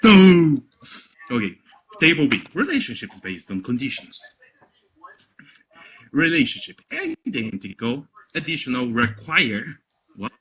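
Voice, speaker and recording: Husky man, exasperated and halting. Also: medium pitch, huge pitch swings, a bit bright, poor audio. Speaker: reader on a comedy reading show. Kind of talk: mocking read-aloud with casual banter.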